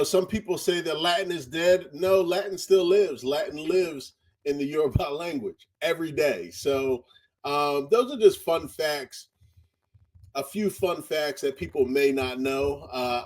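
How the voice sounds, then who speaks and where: A man's voice: fairly high pitch, measured pace. A man interviewing a language tutor, upbeat and encouraging, often repeating his praise. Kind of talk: interview questions.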